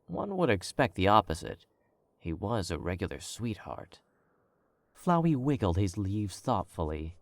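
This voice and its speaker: A man narrating a fan fiction as an audiobook, in a measured, wry storytelling manner. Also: fairly low pitch, sing-song, no echo.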